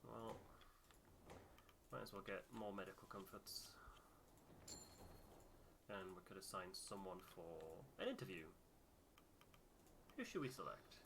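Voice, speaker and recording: A man's voice: low-pitched, lively intonation, measured pace, creaky, long pauses, background noise. A man hosting a game playthrough with casual commentary into a close microphone. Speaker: game player and host.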